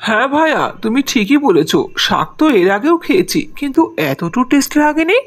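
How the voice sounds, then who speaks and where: Greedy young village brothers in a cartoon fairy tale, voiced by a woman. The voice is husky, a bit high, and leaps up and down as they coax and scheme. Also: sing-song, fairly quick. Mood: delighted. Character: greedy elder brothers and pleading little brother. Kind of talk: Bengali cartoon dubbing.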